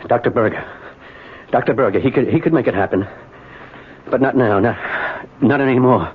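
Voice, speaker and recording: Guy playing stubborn troubled business husband, old radio drama, halting and insistent, dull noisy recording. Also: medium pitch, lightly acted. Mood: shaken, relieved. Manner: insistent, halting, repeats phrases. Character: troubled, defiant high-powered businessman husband. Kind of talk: 1970s radio drama acting.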